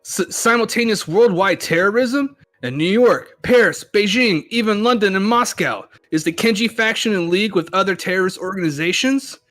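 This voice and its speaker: A man's voice: sits high, moderate pace, hardly any pauses, fairly bright tone, faint music behind, voice pushed up. A man playing a solemn, urgent television news anchor reading emergency bulletins from a comic. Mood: alarmed.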